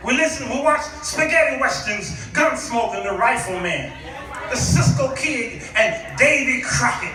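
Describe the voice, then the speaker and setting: A man's voice: very high-pitched, sharp tone. A middle-aged American man preaching nostalgically to a congregation, theatrical and exclamatory, leaning on rhetorical questions. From Chicago, United States. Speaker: guest preacher. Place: church hall, preaching to a congregation. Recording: echoey hall, distant microphone.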